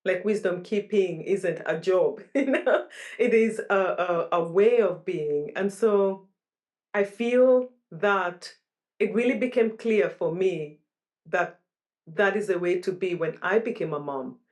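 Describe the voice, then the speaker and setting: A woman's voice: medium pitch, slow pace, a little mellow. A middle-aged woman from Kenya, a guest speaking thoughtfully and searching for words as she reflects. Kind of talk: reflective interview talk.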